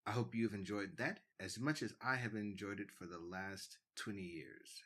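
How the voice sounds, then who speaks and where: A man hosts a fan-made audio drama in podcast style. His voice is fairly low yet forward and bright, with a husky, rough edge. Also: normal speed. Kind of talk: podcast sign-off.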